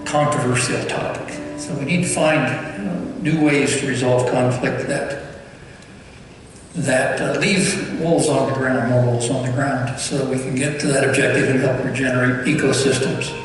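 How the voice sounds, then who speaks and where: A man's voice: medium pitch, fairly even intonation, normal speed, far from the mic. A man giving an explanatory interview about his field, as in a documentary. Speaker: documentary interviewee on wolf ecology. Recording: echoey hall playback from afar, music.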